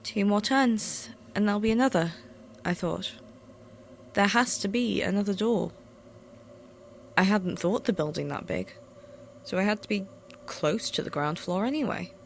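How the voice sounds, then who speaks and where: A woman's voice: medium pitch, unhurried, gravelly. A woman reading a scripted first-person horror story as narrator. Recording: faint background noise, amateur recording.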